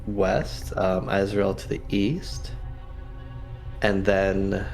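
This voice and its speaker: A man's voice: sits low, little pitch movement, drawn-out words, vocal fry, faint music behind. A man playing a tabletop roleplaying game, casually planning tactics with the group.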